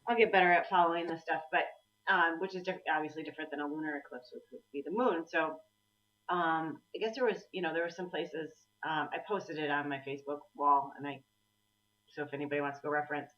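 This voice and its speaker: Chatty woman co-hosting over a muffled line. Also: steady tone. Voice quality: low husky voice today. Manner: chatty, laughing, quick asides. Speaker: co-host. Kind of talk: casual live-stream chat. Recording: distant, dull lo-fi sound.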